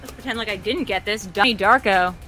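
Brief: man putting on a high, bouncy contestant voice, playful and fast. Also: bright, forceful tone, soft background music. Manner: put-on high voice, quick and playful. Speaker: trivia contestant. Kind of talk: game show banter.

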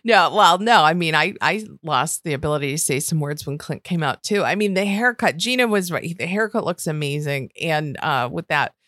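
Lively woman with a Western New York accent. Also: low-pitched, moderate pace, few pauses, no echo, studio quality. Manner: animated, enthusiastic, swears casually. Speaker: podcast co-host. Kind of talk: casual recap chat.

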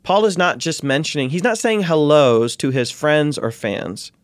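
A man speaking as a guest scholar in an interview, explaining in an animated way and acting out questions and quotes. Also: on the high side, normal speed, no echo, clean studio sound.

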